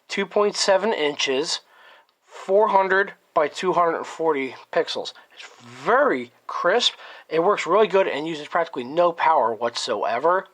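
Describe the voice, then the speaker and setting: Man, slightly raised pitch, getting over a cold, workbench tech walkthrough, thinking aloud with ums. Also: a bit bright. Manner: thinking aloud, frequent ums and self-corrections. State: recovering from a cold, voice a little off. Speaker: electronics hobbyist presenter. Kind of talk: casual hands-on tech walkthrough.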